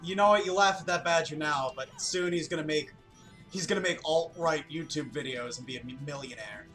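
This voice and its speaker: High-voiced man bantering over music. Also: brisk, a bit bright. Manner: joking, informal, mock-dramatic asides. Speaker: co-host riffing on a story. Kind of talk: casual comic banter. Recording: music playing behind the voice.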